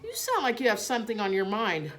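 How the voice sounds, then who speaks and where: A woman's voice: medium pitch, huge pitch swings, brisk, fairly bright tone, a little hiss or hum, voice pushed up. A woman voicing an affectionate, chatty, lonely male night cleaner in a children's storybook. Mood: friendly. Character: friendly lonely man, night cleaner fond of a hamster.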